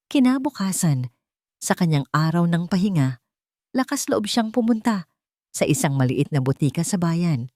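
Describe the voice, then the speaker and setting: A woman narrating a scripted dramatic romance story in measured, deliberate phrases with short pauses. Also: low voice, mellow, dry recording, clean studio sound.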